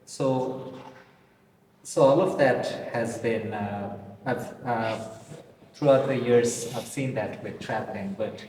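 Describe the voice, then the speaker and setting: Slow, hesitant man in an echoey hall. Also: medium pitch, darkish tone. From Bangladesh. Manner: hesitant, many fillers and restarts. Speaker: guest artist. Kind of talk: casual interview chat. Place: old pre-war building in Poland. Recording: distant mic, long reverb, noisy ambience.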